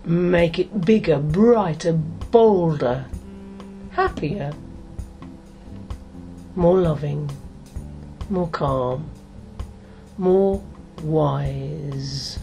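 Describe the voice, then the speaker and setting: A middle-aged woman speaks as a hypnotist, extremely slowly and soothingly, with long pauses between short phrases. Her voice is fairly low and mellow, made for guided relaxation. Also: gravelly, soft background music.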